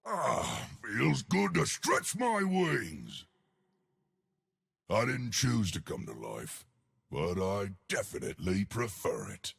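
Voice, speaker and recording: A man voicing a huge, boastful, simple-minded stone giant from a video game, eager for a fight, with a gravelly, husky voice and a slow, hammy delivery. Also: fairly low pitch, wildly swooping pitch, fairly bright tone, exaggerated voice. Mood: cheerful. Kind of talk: video game character voice lines.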